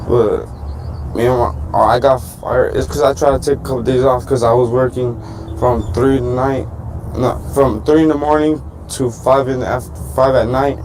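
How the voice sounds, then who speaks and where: A man's voice: medium pitch, steady tone, normal speed, a little mellow, a little hiss or hum, rough recording. A nineteen-year-old man answering a street interview in casual, slangy talk.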